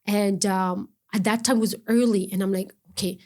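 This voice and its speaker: A middle-aged woman guest on an interview, speaking earnestly in a halting way with frequent restarts and broken-off words. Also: mid-range voice, steady tone, dark tone. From Ontario, Canada. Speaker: guest, non-profit founder. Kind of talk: conversational interview.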